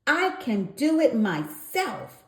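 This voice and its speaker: A woman voicing an impulsive, excitable young boy frog from a children's storybook, blurting out short exclamations. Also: high voice, takes it slowly, pitched above the performer's own voice, exaggerated voice. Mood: defiant, shouting. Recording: echoey room.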